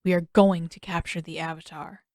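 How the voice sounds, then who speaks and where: A woman's voice: lowish voice, fairly even intonation, moderate pace, a little mellow, no echo, clean studio sound, pitched below the performer's own voice, natural voice. Woman reading a stern, commanding young prince. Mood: stern, determined. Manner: formal, commanding. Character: stern, brooding teenage prince commanding his crew. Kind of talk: audiobook character voice.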